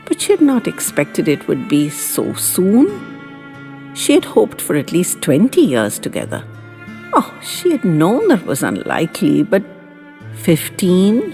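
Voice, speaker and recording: A woman reading sentimental short stories as a storyteller, in a soft, expressive, dramatic voice with lingering pauses. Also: on the low side, creaky. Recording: long hall reverb, music behind.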